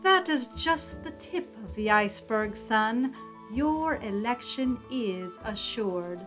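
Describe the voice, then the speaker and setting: A woman's voice: a little high, expressive, slow, fairly bright tone, faint music behind. A woman playing a haughty, scheming middle-aged mother, a cold villainess in a 1930s suspense radio drama. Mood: coldly conspiratorial.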